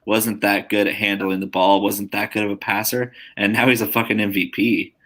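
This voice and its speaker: A man co-hosting a casual sports podcast, animated and quick to swear, often talking over the others. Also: on the low side. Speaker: podcast co-host.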